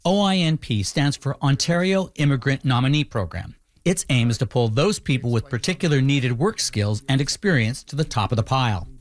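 A man narrating a documentary from a script in a measured, explanatory way. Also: mid-range voice, expressive. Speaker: narrator, host.

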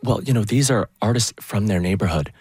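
A man with a Canadian accent and a low-pitched voice, recorded dry and close. He speaks as an artistic director answering interview questions with enthusiasm. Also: a little fast, a little mellow, breathy edge, dry recording.

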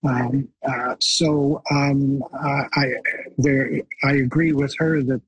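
A man speaking as a guest commentator on a discussion show, measured and contrarian, with many fillers. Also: mid-range voice, level tone, muffled recording, a little hiss or hum. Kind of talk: panel discussion.

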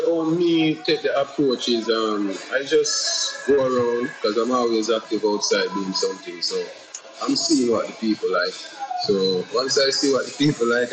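A Jamaican man talking about his music in a relaxed interview, unhurried and repetitive, often adding "you know what I say". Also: medium pitch, poor audio. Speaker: independent musician guest. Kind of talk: casual interview answers.

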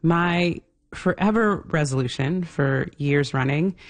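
A woman's voice: low-pitched, takes it slowly. A woman hosting a radio show and interviewing a guest with probing questions. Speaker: radio host. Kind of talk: interviewing and presenting.

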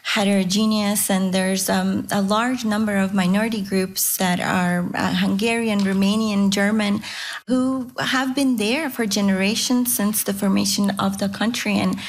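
A woman from Serbia, a guest, explaining in a measured way and often checking in with "right?". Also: medium pitch, fairly even intonation. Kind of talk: explanatory panel discussion.

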